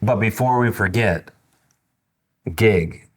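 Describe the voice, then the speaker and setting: Male podcast host, fifties, lowish voice, slow halting delivery, blunt and informal. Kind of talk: casual interview chat.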